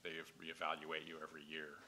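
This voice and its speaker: Male city official, deep but very forceful, sharp tone, matter-of-fact in discussion. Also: completely flat delivery, quick pace, rough recording. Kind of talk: explanatory meeting discussion. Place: council meeting room. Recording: faint hum on meeting audio.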